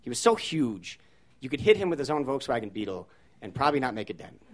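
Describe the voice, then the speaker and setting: A man telling a personal story live on stage, shifting between loud, charged lines and hushed, poetic ones. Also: medium pitch, big pitch swings, fast. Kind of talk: live spoken-word storytelling.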